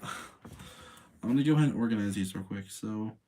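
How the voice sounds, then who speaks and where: A man hosting a livestream, talking casually in an informal, rambling, slangy way with a husky voice. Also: fairly low pitch, moderate pace, dark tone, slight background noise. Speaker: livestream host. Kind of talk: casual livestream chat and commentary.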